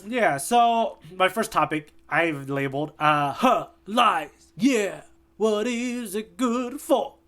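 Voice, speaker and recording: A man's voice: high-pitched, animated, slow pace, fairly bright tone. Joking man hosting a podcast. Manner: joking, loose and filler-heavy. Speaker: podcast host. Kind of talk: casual chat.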